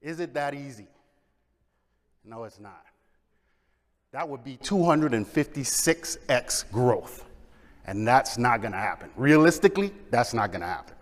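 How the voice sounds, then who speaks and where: A middle-aged man from the Bahamas, giving an energetic conference presentation and asking the audience questions. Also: mid-range voice, a little slow, pauses often. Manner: energetic, engages audience with questions and shows of hands. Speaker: conference speaker. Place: large conference hall. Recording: long hall reverb.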